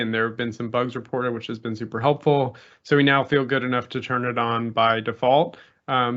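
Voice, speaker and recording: Relaxed man on a muffled call. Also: medium pitch. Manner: relaxed, conversational, frequent fillers. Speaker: software engineer presenting a release. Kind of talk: casual technical walkthrough. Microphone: video call. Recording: muffled video call audio.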